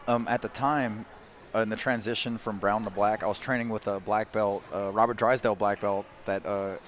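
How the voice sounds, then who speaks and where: Relaxed modest man in his thirties. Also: fairly low pitch, brisk. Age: 30s. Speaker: guest commentator. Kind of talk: casual mat-side commentary. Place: grappling tournament mat-side.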